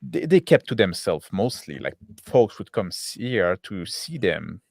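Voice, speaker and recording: Low-pitched creaky man, halting delivery. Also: very animated, darkish tone, dry recording. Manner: halting, repeats and rephrases words. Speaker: game master. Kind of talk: improvised in-character tabletop roleplay.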